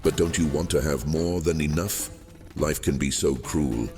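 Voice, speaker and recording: Very deep, sly old djinn. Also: male voice. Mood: wheedling, crafty. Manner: theatrical, silky, hesitant pauses. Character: old, fading, sly captive male djinn, courteous and wheedling. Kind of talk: fantasy audio drama acting. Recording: long cavernous reverb, music underneath. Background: music.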